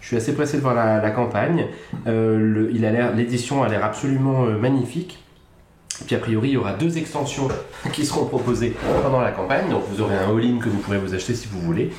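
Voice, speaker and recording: A man's voice: mid-range voice, quick pace, a little mellow, slight background noise. Chatty man in an echoey room. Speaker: podcast co-host. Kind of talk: casual chat about board games.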